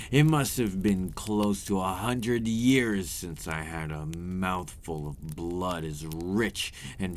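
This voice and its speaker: A man voicing a centuries-old vampire in an ASMR roleplay, a courtly, teasing predator with condescending, formal, old-fashioned phrasing and a deep voice. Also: slight background noise. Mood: seductive, hungry. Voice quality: deep, breathy at moments.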